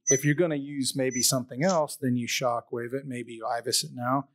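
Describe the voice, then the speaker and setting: A man's voice: mid-range voice, steady tone, brisk. A man on a medical conference panel, reasoning through a question aloud. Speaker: panelist physician. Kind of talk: live conference panel discussion.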